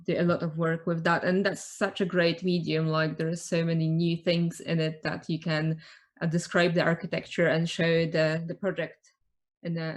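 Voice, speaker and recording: A woman explaining her studio's work on a panel, in a measured way. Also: low-pitched. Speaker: architectural visualization panelist. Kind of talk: casual video call panel.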